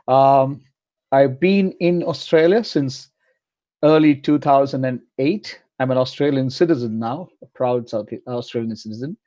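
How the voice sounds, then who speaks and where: A man's voice: mid-range voice, dark tone, no echo. A man from southern India, a Malayalam speaker, introducing himself fluently and confidently on a panel. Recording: dull, dry lo-fi audio.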